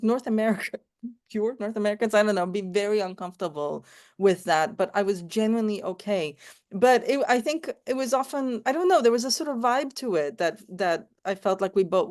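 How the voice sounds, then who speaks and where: A woman's voice: medium pitch, darkish tone. A woman born in Romania, raised in Canada, speaking in a casual panel discussion and telling personal stories with many restarts. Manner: thoughtful, storytelling, frequent "you know" and restarts.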